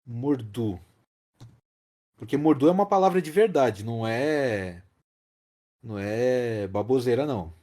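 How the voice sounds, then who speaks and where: A man's voice: mid-range voice, lively intonation, measured pace, long pauses, mellow. A Brazilian man co-hosting a casual film discussion, explaining things emphatically and repeating key phrases. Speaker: podcast host.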